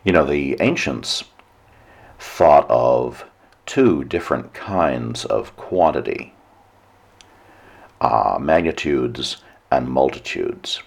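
A hoarse man hosting a weekly radio talk show, giving a measured lecture with dry asides. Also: extremely low pitch, expressive. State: hoarse, nearly lost voice. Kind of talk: solo radio talk monologue.

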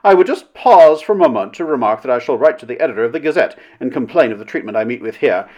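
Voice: medium pitch, animated, fast talker, darkish tone, lo-fi sound, close to an everyday voice. A narrator voicing a self-important, quarrelsome woman in a story, declaiming with haughty, melodramatic indignation. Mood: pompous indignation.

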